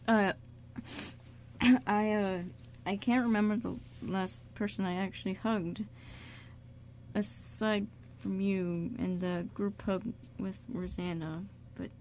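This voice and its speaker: A woman's voice: medium pitch, fairly even intonation, takes it slowly, a little mellow, slight background noise. A woman playing an anxious, tender man in an amateur radio drama, with hesitant, stammering speech. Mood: awkward. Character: anxious, guilt-ridden, tender male friend.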